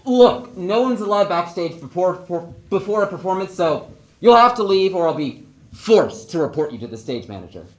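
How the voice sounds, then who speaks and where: Echoey stage recording, student actor as a flustered latecomer, indignant, blustering, loud. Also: medium pitch, big pitch swings, moderate pace, close to an everyday voice. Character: flustered, indignant performer arriving late backstage. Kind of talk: stage play acting. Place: theatre stage.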